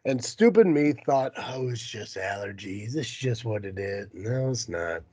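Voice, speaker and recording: A man's voice: fairly low pitch, animated, unhurried, darkish tone, slightly rough, dry recording. A man hosting a casual podcast while sick with a cold, talking in a self-deprecating, foul-mouthed, grumbling way. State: sick with a cold, runny nose. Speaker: podcast host. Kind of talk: casual chat, ad read at start.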